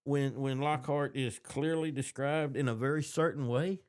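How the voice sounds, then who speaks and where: A man in a casual podcast chat, informal and opinionated, often halting as he searches for his words. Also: medium pitch, steady tone, a little mellow, clean tone. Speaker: podcast co-host. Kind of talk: casual fan discussion.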